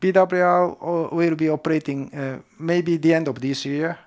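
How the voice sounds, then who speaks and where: A man with a Japanese accent, a professor answering seminar questions in halting English and repeating words for emphasis. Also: on the high side, fairly even intonation, darkish tone. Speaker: professor, expert speaker.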